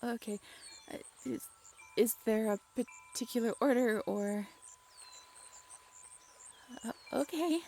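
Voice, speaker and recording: Faint crickets and night ambience sit behind a woman voice-acting a bashful, affectionate young woman writer on a date. Her voice is fairly high. She talks haltingly and teases playfully, as in an intimate audio roleplay. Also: vocal fry, a little mellow, a little hiss or hum. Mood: flustered. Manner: halting, stammering, playfully teasing.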